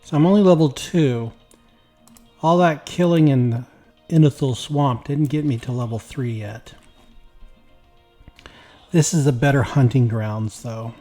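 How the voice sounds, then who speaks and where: Tired man, slightly raspy gameplay chat. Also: mid-range voice, measured pace, pauses often, faint music behind. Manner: rambling, relaxed, talks while playing. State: tired after work. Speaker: gaming YouTuber. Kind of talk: casual gameplay commentary.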